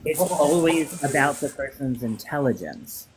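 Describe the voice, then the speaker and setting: A man hosting a casual live-stream chat, speaking in a halting way with many pauses and ums. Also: fairly high pitch, lively intonation, a crowd in the background, low-quality recording.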